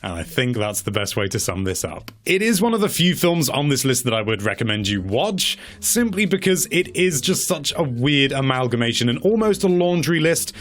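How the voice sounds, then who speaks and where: A man's voice: mid-range voice, very animated, normal speed. A man with a mid-range voice gives casual, opinionated review commentary. His delivery is wry, leaning into mock-dramatic emphasis. He stretches key words and repeats them for effect as he presents. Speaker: presenter of a video game movie countdown.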